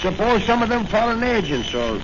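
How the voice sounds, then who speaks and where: A man's voice: sits high, big pitch swings, fairly quick. An actor playing a pompous veteran policeman in the style of a 1940s radio serial, self-important and clumsy with long words. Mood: boastful. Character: blustering, self-important veteran Irish-American beat cop, male. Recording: noisy, muffled old radio recording.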